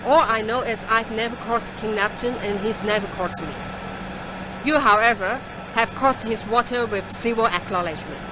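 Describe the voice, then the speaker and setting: A woman's voice: fairly high pitch, moderate pace, noisy background, natural voice. A woman playing a seasoned, superstitious transport driver in an audio drama, matter-of-fact and assured. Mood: wry, assured. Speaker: voice actor in a fiction podcast.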